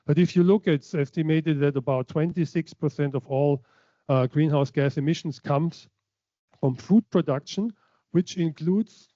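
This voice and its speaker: A man's voice: on the high side, a little slow, warm tone, no echo, dull audio. A man from Germany, giving a conference lecture from slides, hesitant, with many fillers and restarts. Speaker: keynote speaker.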